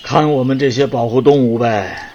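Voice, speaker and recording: Male colleague, radio play: actor as reassuring, casual, mid-pitched, slightly muffled recording with birdsong and light noise. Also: fairly even intonation, moderate pace, a little mellow, natural voice. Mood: relieved, casual. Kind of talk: audio drama acting. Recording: slightly dull, noisy, birdsong behind.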